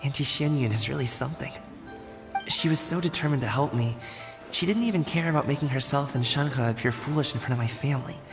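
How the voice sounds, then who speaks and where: A man's voice: mid-range voice, fairly quick, lightly acted. A man playing an earnest, humble young man in a video game, casual and sincere. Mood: impressed, humbled. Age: young adult. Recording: music behind the voice.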